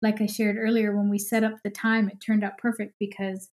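A woman's voice: mid-range voice, level tone, fairly quick, a little mellow. A middle-aged woman, a podcast guest, talking in an upbeat, chatty way. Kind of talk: casual interview chat.

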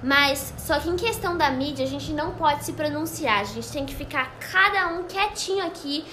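A woman's voice: sits high, moderate pace, pushed and bright, roomy sound, a little hiss or hum. A woman acting a wronged, dramatic young wife in an amateur radio soap opera. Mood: guarded. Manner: dramatic, gasping, exclamatory. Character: young wife and model, wounded, swooning over gifts.

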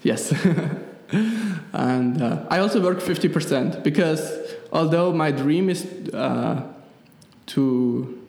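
A young Swiss man with a Swiss German accent speaks slowly as an interview guest. His somewhat high voice carries a gravelly fry, and he pauses and restarts often. He sounds echoey, with a long reverb tail from the room. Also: a little mellow. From Switzerland. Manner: hesitant, many fillers and restarts, laughs easily. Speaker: guest, app developer. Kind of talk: casual interview chat.

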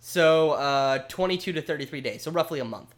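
A man co-hosting a casual podcast, explaining at length in an animated, rambling way with casual swearing. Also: on the high side, brisk. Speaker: podcast co-host. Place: home basement studio.

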